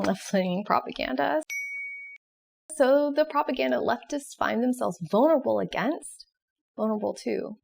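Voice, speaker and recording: Animated, creaky-voiced woman presenter. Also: on the high side. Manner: expressive, questioning. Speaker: presenter of educational psychology videos. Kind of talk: scripted explanatory narration with casual asides.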